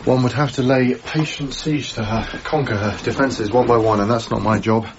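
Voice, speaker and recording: An actor playing a troubled, haunted young lodger in a radio drama, speaking in a hesitant, tender way over music in a slightly muffled broadcast. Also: male voice, mid-range voice, steady tone, background noise, lightly acted. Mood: brooding, wistful. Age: young adult. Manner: hesitant, brooding, halting. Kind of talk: radio drama acting, intimate monologue.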